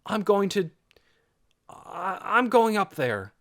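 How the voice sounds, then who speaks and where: A man's voice: high voice, sing-song, takes it slowly, fairly bright tone, pitched above the performer's own voice, heavily acted. A man voicing a brave, honest young male hero in an audiobook, speaking earnestly and firmly. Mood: hesitant resolve. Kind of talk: audiobook character acting.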